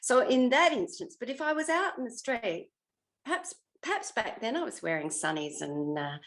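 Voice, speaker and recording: A woman's voice: fairly high pitch, big pitch swings, moderate pace. An older woman with an Australian accent reminisces casually as an interview guest, modest and often trailing off mid-thought. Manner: self-effacing, rambling, trailing off mid-thought. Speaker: interview guest, former television actress.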